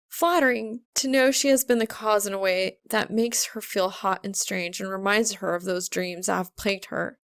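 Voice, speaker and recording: A woman's voice: on the high side, vocal fry, no echo. A woman narrating scripted fiction as an audiobook reader.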